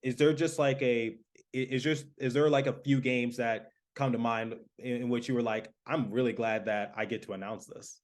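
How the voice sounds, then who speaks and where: A man's voice: mid-range voice, fairly even intonation, normal speed. A man hosting a sports podcast, interviewing in a casual, conversational way.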